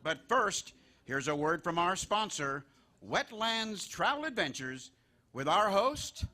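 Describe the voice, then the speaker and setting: High, lively, bright male radio-show announcer. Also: slow pace.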